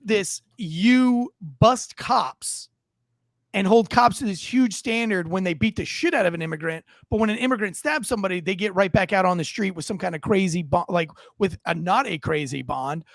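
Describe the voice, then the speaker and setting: Podcast co-host, man, high raspy voice, loose sweary delivery. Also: moderate pace, dry recording, studio quality. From United States. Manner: rambling, swearing, many restarts. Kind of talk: casual profane rant.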